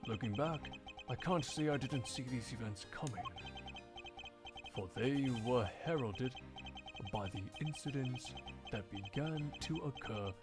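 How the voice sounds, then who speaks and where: A man voicing a composed, erudite prosecutor in a video game fan dub, speaking in formal, measured, dramatic phrases. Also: medium pitch, music in the background, natural voice. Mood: brooding.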